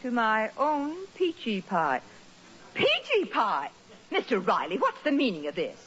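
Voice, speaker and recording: A woman playing an excitable, indignant middle-aged wife and mother in a 1940s radio comedy, with theatrical astonishment. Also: fairly high pitch, unhurried, husky, heavily acted. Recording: muffled, hissy old radio recording.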